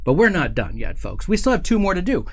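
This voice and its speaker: Host, middle-aged man, slightly high voice, loose and rambling studio banter. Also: wildly swooping pitch, fast. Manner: chatty, joking, rambling with fillers. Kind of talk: casual livestream chat. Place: studio set with couch and table.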